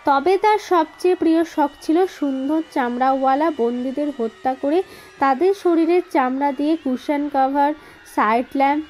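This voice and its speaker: A woman's voice: high voice, darkish tone, slight background noise, low-quality recording. A woman narrating a scripted horror story as the storyteller. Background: background music.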